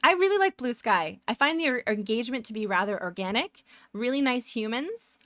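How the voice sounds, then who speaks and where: A woman's voice: fairly high pitch, lively intonation, a little fast, fairly bright tone, no room sound, studio quality. A young woman with a Canadian accent, chatting casually with her stream viewers, rambling and upbeat.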